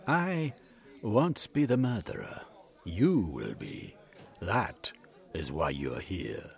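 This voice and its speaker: A man's voice: low voice, sing-song, slow, gravelly, exaggerated voice. A man voicing a scheming, scornful male foreign spy villain who threatens and sneers.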